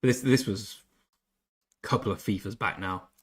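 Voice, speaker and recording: A man's voice: fairly low pitch, normal speed, breathy edge. Chatty English man streaming a game. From England. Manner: chatty, self-deprecating, reads chat aloud. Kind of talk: casual live-stream chat.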